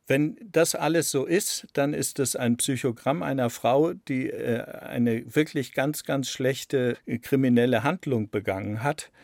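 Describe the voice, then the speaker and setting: A man explaining his work in an interview, deliberate, often repeating and rephrasing for emphasis. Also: mid-range voice, vocal fry, a little mellow, no room sound, studio quality. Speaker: radio play author interviewed about his work.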